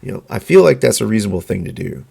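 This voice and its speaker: A man hosting a solo podcast, talking in an earnest, measured way with halting fillers, his voice going hoarse. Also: on the low side, very animated, warm tone. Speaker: podcast host. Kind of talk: solo opinion monologue.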